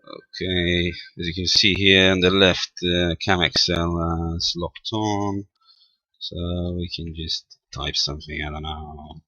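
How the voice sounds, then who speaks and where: A man giving an informal technical demonstration as a presenter, halting and thinking aloud as he goes. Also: sits low, sharp tone, no room sound. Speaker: amateur radio tutorial presenter. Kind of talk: informal spoken software demonstration.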